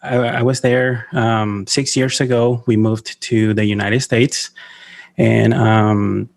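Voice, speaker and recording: Venezuelan-born man, halting podcast guest. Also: lowish voice, level tone, vocal fry. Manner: halting, many fillers and restarts, laughs often. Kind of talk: casual interview talk.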